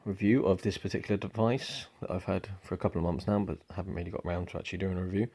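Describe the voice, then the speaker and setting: Male vlogger, young, low flat delivery, easygoing, um-heavy. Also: very fast. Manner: relaxed, rambling, many ums. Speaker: motorcycle vlogger. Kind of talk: casual product review. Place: indoors at home.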